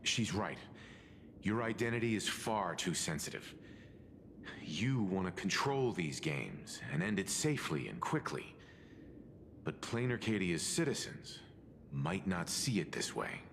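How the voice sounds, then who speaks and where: A man playing a grave, perceptive adventurer in a video game, speaking in a solemn, deliberate and formal manner. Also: sits low, big pitch swings, vocal fry, a bit bright, slight background noise, full character voice. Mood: stern, probing. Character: grave, stern, perceptive male adventurer ally.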